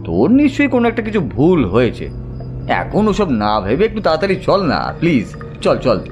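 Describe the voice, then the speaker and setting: A man's voice: a little high, wildly swooping pitch, normal speed. An actor playing a sceptical but reassuring young city man in a horror audio drama. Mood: dismissive. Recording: loud music over the voice.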